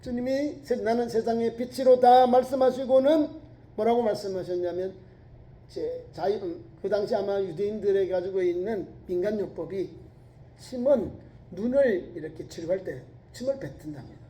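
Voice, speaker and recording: A man's voice: high-pitched, normal speed, a little hiss or hum. Middle-aged man preaching with regional accent. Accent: Korean regional dialect. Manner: emphatic preaching with rhetorical questions, rising to shouts on quoted scripture. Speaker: pastor. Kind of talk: sermon. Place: church sanctuary. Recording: echoey church sanctuary.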